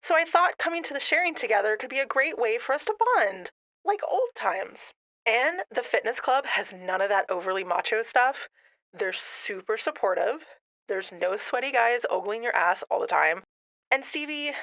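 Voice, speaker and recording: A woman's voice: a little high, expressive, moderate pace, a bit bright, dry recording. A woman playing a chatty, self-assured suburban mom and sister in an audio drama, breezy and persuasive.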